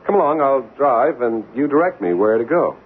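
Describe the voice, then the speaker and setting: An actor playing a polite, hesitant American writer visiting as a stranger in an old-time radio drama. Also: male voice, medium pitch, expressive, normal speed, very noisy, natural voice. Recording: narrow-band old radio, music behind.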